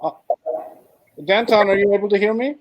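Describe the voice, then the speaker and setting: A man's voice: high voice, little pitch movement, moderate pace, no echo, poor audio. A man hosting an online writers' discussion over a video call, talking hesitantly with many fillers. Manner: hesitant, warm-toned with many fillers and restarts. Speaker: host. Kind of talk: casual moderated video call.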